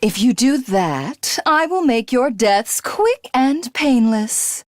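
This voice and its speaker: An actress voicing an arrogant, cruel video game villainess who craves godhood, with haughty, grandiose and menacing formal diction. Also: female voice, a little high, very animated, slow. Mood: cold menace.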